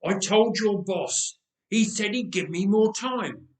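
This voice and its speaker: A man's voice: high-pitched, animated, takes it slowly, darkish tone, distant mic, voice pushed up. A man playing a weary uncle in a story, defensive and given to sighing and muttering. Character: weary, evasive uncle, reluctant disciple in debt. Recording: roomy, echoing space.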